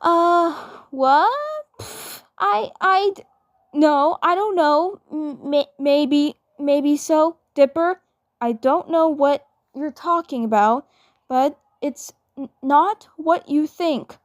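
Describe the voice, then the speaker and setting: Woman voicing a flustered, high-pitched cartoon teenage girl. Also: slow, no echo, clean studio sound. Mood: flustered denial. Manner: stammering, hesitant.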